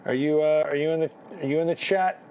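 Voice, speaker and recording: A man's voice: a little high, fairly even intonation, quick pace, mellow, slight background noise, poor audio. A man hosting a live photo critique, chatting casually, thinking aloud with restarts and asking "right?", his voice a bit worn. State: losing his voice. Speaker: photography livestream host.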